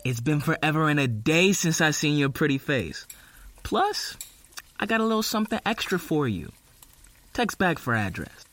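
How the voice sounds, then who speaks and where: A woman's voice: deep voice, sing-song, moderate pace, fairly bright tone, soft background music. A woman playing a flirtatious, coaxing drug-dealer friend in an audio drama.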